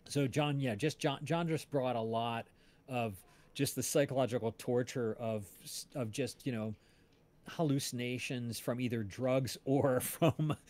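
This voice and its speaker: A man from New Jersey with an American accent, chatting casually and haltingly as a host, rambling as he searches for words. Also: medium pitch, steady tone, darkish tone. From New Jersey, United States. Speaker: podcast livestream host and casting director. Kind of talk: casual chat.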